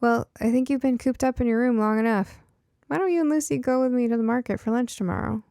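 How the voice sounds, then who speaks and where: A woman voicing a cheerful, caring mother in an audiobook story, gently teasing and reassuring. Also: a little high, fast talker, creaky, no room sound, studio quality, voice pushed up. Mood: encouraging. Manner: chuckling, reassuring, coaxing.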